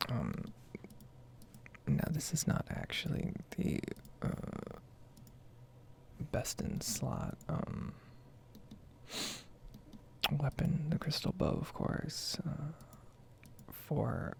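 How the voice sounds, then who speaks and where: A soft-spoken, unhurried gaming host giving a hushed, whispery ASMR ramble over gameplay. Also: mid-range voice, sing-song, a little hiss or hum. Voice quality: whispery, creaky.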